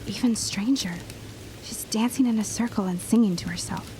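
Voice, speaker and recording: A woman's voice: mid-range voice, moderate pace, warm tone, a little hiss or hum, close to an everyday voice, poor audio. A woman playing an eager, inquisitive and loyal neighbour in a period audio drama, with earnest, old-fashioned phrasing. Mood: intrigued, hushed.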